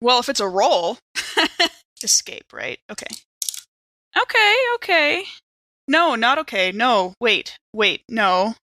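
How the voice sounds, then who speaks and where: Bright, high-voiced playful woman. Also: very animated, takes it slowly, long pauses, dry recording, studio quality. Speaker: tabletop game player. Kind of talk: casual, bantering game chat.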